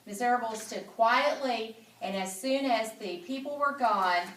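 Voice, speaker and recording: A woman's voice: fairly high pitch, unhurried, a bit bright, slight background noise. A woman reading a children's story aloud expressively and dramatically, repeating phrases and adding asides. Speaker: school principal reading aloud. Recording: echoey room, somewhat distant mic.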